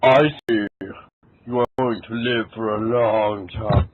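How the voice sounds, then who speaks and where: A man's voice: lowish voice, level tone, slow, dry recording. A man playing a solemn, theatrical female fortune teller, with a portentous, dramatic delivery, in an amateur fan fiction reading. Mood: grave, prophetic. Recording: thin phone-like sound with background noise.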